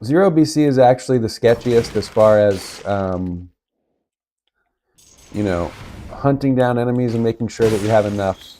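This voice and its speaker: A man with a raspy voice from being sick, giving low-key commentary on his gameplay. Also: normal speed, a little hiss or hum. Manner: relaxed, low-key play-by-play with occasional coughs. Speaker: gaming video host. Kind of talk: casual game commentary.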